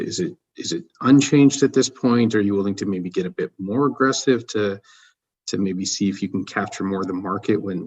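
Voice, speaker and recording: A man's voice: fairly low pitch, slightly rough. A man asking questions as a financial analyst on an earnings call, sounding distant from the microphone. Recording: distant from the mic.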